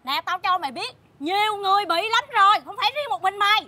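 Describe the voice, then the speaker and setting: A woman's voice: very high voice, lively intonation, fast talker, background noise. An actress playing a brash, rude woman who sells fake beauty cream and taunts others coarsely. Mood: taunting. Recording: loud music bed behind the voice.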